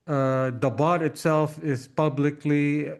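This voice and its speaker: A man hosting a casual interview, asking probing questions in a halting way and often repeating words. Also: a little high, little pitch movement. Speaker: interview host.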